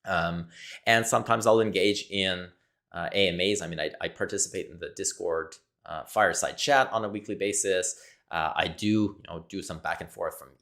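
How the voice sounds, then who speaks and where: Deep-voiced Québécois French man thinking aloud. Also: steady tone, normal speed, fairly bright tone. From Quebec, Canada. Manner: searching, repetitive, thinks aloud. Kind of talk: solo monologue to camera. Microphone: camera mic, talking to camera.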